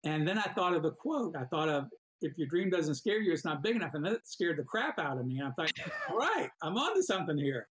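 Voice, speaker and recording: A man's voice: a little high, very animated, brisk, a crowd in the background, low-quality recording. A man of about sixty, an athlete guest telling a personal story casually, acting out quoted dialogue. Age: around 60.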